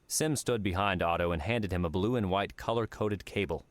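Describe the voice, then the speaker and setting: Low-pitched male audiobook narrator. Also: normal speed, dry recording. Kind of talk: scripted narration.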